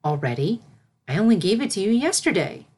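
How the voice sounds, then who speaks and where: A woman voicing a regal pony princess in a storybook reading, a gentle, patient mentor with a measured, formal way of speaking. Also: medium pitch, sing-song, husky. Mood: surprised.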